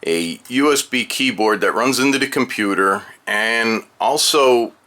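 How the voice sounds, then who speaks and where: Bright, forceful man giving a casual tutorial. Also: mid-range voice, unhurried. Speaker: tutorial presenter. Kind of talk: casual how-to demonstration.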